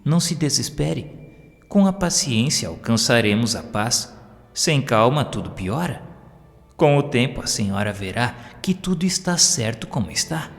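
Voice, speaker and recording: A man voicing a gentle, patient male medium in an audio story, speaking soothingly and reassuringly. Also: medium pitch, big pitch swings, moderate pace, in a large hall, faint music behind.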